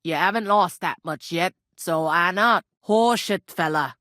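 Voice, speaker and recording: A woman voicing a gruff, teasing medieval tavern innkeeper in a video game, with a blunt, folksy, scolding way of talking. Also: lowish voice, measured pace, pushed and bright, no room sound, studio quality. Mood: scolding, haggling. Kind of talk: scripted game character dialogue.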